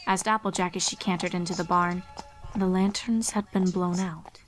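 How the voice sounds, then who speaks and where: A woman's voice: on the low side, fairly even intonation, normal speed, faint music behind, poor audio. A woman reading a horror fan fiction story aloud as its narrator.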